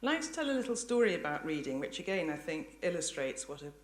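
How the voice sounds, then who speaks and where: A woman giving an articulate, measured public lecture with illustrative storytelling. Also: sits low, sing-song.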